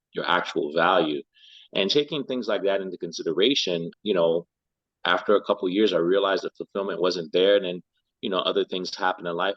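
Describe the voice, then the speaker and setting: A man's voice: low voice, dry recording, highs cut off. A middle-aged man from Liberia, a health and lifestyle coach, answering interview questions thoughtfully and often repeating words as he searches for them.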